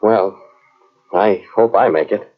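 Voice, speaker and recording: An American man playing a deferential, earnest cavalry sergeant in an old radio drama, talking in a halting, folksy way. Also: on the low side. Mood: earnest, obedient. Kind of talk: old-time radio drama acting and narration. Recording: muffled old radio drama from cassette tape.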